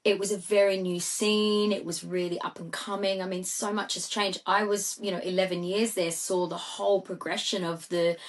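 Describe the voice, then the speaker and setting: A woman's voice: medium pitch, little pitch movement, normal speed, hardly any pauses. A middle-aged Australian woman, a singer and DJ, chatting as a podcast guest in a rambling way with many fillers. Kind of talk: casual podcast conversation.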